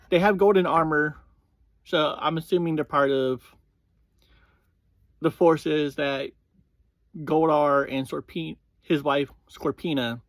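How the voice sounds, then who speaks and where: A man hosting a review series, giving a casual, informal recap in halting phrases that often open with "well". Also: a little high, no room sound.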